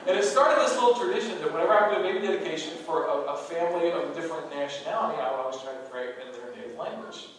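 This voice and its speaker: Pastor, male, higher-pitched, lively anecdotal preaching; distant mic in a big reverberant sanctuary with background noise. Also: very fast, hardly any pauses. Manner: animated, humorous, acts out quoted voices. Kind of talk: storytelling sermon. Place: large church sanctuary. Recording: very echoey hall, heard from far off, noisy.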